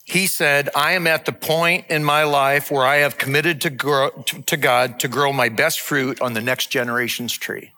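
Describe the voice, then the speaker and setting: A man in his sixties, a lead pastor, giving a sermon and announcement in an earnest, measured, heartfelt way. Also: medium pitch, fairly even intonation, bright, forceful tone. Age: 60s.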